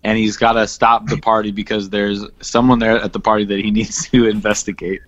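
A man's voice: fairly low pitch, little pitch movement, fairly quick, fairly bright tone. A man co-hosting a comedy podcast, riffing in casual comic banter. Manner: riffing, joking. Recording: dull, slightly lo-fi audio.

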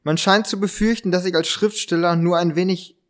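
A man playing a polite, agitated writer in an amateur German detective radio play, haltingly stumbling over his lines. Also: sits high, fairly even intonation, lightly acted. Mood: earnest.

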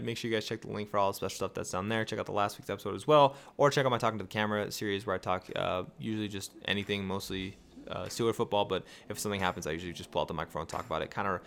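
A tired young man with a raspy voice, hosting a casual sports podcast and rambling through excited rhetorical questions. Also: lowish voice. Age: young adult. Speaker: podcast host.

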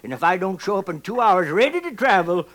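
An actor playing a grizzled, boisterous old American gold prospector in a 1950s radio western, cackling and talking in folksy frontier slang. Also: male voice, sits high, sing-song, fairly quick, fairly bright tone. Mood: sly, jovial.

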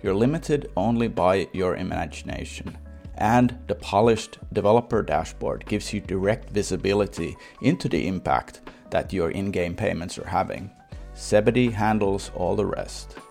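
A man's voice: low voice, normal speed, vocal fry, a little mellow, faint music behind. A man speaking as a podcast host, introducing and presenting in a prepared but personal style. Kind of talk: podcast intro, sponsor read and interview.

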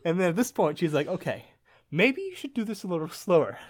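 A man's voice: high voice, big pitch swings, a little fast. A young man with an American accent, a podcast guest telling a story in casual chat and laughing often as he goes.

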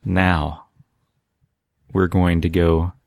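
A man's voice: sits low, little pitch movement, drawn-out words, gravelly, no room sound, studio quality. A man hosting a podcast in a casual, conversational style, putting questions to the others. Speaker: podcast host. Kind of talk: casual chat, asking questions.

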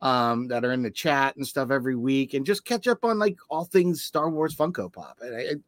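A man's voice: medium pitch, brisk, no room sound. A man from Canada co-hosting a casual podcast, rambling and enthusiastic, still worn out from being sick. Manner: rambling, enthusiastic, many fillers. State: tired, recovering from illness. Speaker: podcast co-host.

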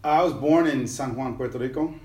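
A middle-aged man chats in a loose, rambling way, full of slang and fillers. His voice sits at an ordinary pitch for a man. The recording has a noticeable room echo. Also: brisk, warm tone. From New York City. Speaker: podcast guest, musician. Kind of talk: casual conversational interview.

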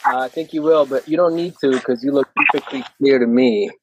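A man's voice: mid-range voice, fairly quick, noisy background. A young man from Kenya, a running coach, talking casually on a video call with an easygoing, rambling manner.

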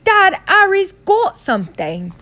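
A woman voicing a spoiled young boy in a storybook, loud and whiny in his demands. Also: very high voice, huge pitch swings, takes it slowly, pitched above the performer's own voice, full character voice. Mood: demanding.

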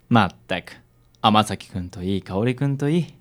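A woman playing a suave, meddling man in a radio drama, with a smooth, theatrical, teasing manner. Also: very deep voice, lively intonation, measured pace. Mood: sly, persuasive. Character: suave, meddling man, wry detective-office hanger-on.

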